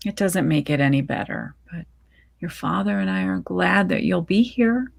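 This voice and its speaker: A woman reading the part of a gentle, affectionate middle-aged mother in a short story, soothing and reassuring. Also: fairly low pitch, animated, moderate pace, full character voice. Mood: comforting. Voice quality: husky, creaky, gentle.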